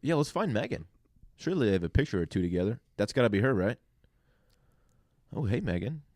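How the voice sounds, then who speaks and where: A man's voice: lowish voice, very animated, a little fast, a little mellow, no echo, clean studio sound. A young American man casually chatting and bantering as a podcast co-host. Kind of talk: casual chat.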